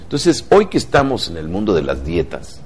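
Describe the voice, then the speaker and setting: A man's voice: low voice, huge pitch swings, normal speed, background noise. A man with a Mexican accent giving motivational talk show commentary, emphatic and fond of rhetorical questions.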